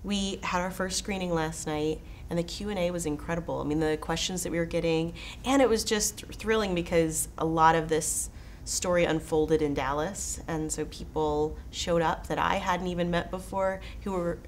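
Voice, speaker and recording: An American woman with a slightly low voice speaks as an interviewed filmmaker. She is enthusiastic and conversational. A faint noise sits behind her voice. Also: fairly even intonation, moderate pace, few pauses. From Texas, United States.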